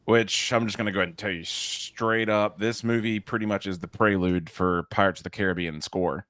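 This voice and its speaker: A man co-hosting a casual movie podcast, excitable and joking, often cutting in mid-sentence. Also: lowish voice, brisk, smooth, no echo. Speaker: podcast co-host.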